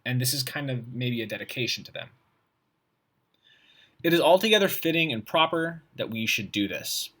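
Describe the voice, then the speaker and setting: A man's voice: mid-range voice, very animated, sharp tone, husky. A man teaching by example, reading a text aloud and stopping to puzzle over it informally in his own words. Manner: informal, pausing to puzzle over and paraphrase what he reads. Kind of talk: read-aloud demonstration, thinking out loud.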